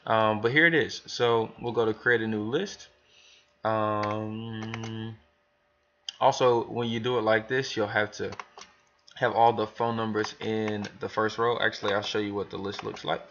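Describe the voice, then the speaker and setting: Male trainer, lowish voice, informal and rambling step-by-step walkthrough, muffled lo-fi sound. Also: steady tone, unhurried, fairly bright tone, slight background noise. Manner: informal, rambling, thinking aloud. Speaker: business trainer demonstrating software to new hires. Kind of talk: casual screen tutorial, talking through steps.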